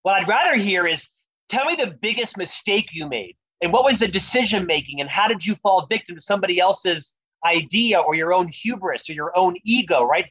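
Man, high pitch, stutterer, earnest, reflective, interview guest, dry slightly dull sound. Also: expressive, fairly quick, bright, forceful tone, slightly rough, no room sound. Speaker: guest, author and leadership speaker. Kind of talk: podcast interview conversation.

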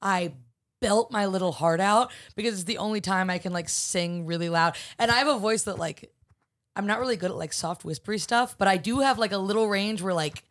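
A young woman with a husky, slightly hoarse voice talks in a loose, rambling way, tossing in jokes and fillers. Her pitch sits in the middle range for a woman. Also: brisk. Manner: rambling, joking, many fillers. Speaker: podcast co-host, comedian. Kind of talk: casual chat.

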